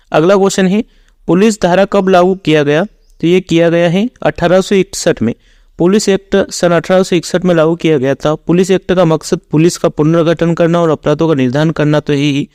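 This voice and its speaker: A man with a fairly high-pitched voice teaches for a competitive exam. He reads out questions and factual explanations in an orderly, instructional routine. The sound is very dry, with no room echo. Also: darkish tone. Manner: instructional, reading out facts in a set routine. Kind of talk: reading quiz questions with explanations.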